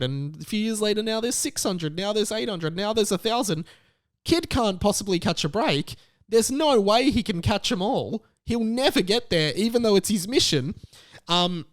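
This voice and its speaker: A man in his thirties hosting a podcast, giving casual solo commentary in a chatty, animated storytelling way. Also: fairly high pitch, clean tone. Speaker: podcast host.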